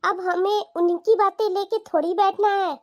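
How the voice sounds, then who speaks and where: An earnest young married woman from a cartoon family drama , acted by a woman. Her voice is extremely high and girlish in a dry recording, and she speaks in a caring, pleading way. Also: fairly even intonation, a little slow, no echo. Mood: protesting. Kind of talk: animated family drama dialogue.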